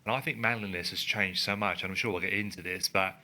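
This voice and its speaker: A man co-hosts a relaxed studio podcast, speaking in a low-pitched but very bright, forceful voice. He is earnest and emphatic and works his thoughts out aloud as he goes. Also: fast. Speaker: podcast co-host. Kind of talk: casual conversational podcast. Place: studio in a building. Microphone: studio microphone.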